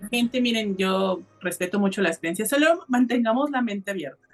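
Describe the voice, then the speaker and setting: A woman's voice: medium pitch, moderate pace, faint music behind. A woman co-hosting a podcast, chatting casually and emphatically as she addresses listeners. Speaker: podcast co-host. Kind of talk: casual opinionated chat.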